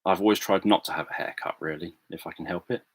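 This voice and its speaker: A middle-aged man chatting casually on a livestream, answering viewers in a soft, gentle way with many fillers and restarts. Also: deep voice, steady tone, fast talker, dry recording. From England. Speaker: livestream host. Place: home room.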